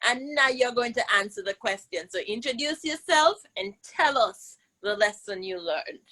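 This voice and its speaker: A woman's voice: fairly high pitch, expressive, moderate pace, pushed and bright, rough recording. A woman with a Jamaican accent hosting a children's lesson, speaking in an encouraging, emphatic way and prompting her listeners. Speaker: host of children's virtual storytime, teacher. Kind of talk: live interactive lesson for children.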